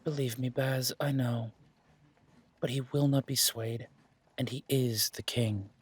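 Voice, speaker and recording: A man acts out a werewolf duke of a fantasy audio drama, a loyal noble commander worn down by duty. His voice is husky and mid-pitched. He speaks in a measured, guarded way with a dry edge. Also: fairly even intonation. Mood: resigned, cautioning.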